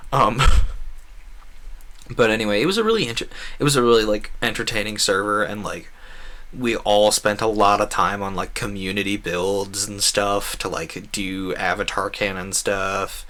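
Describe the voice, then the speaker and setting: A man's voice: on the low side, moderate pace. A young man streaming a game with casual, rambling commentary, animatedly acting out bits of conversation as he talks. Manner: animated, acts out quoted conversations.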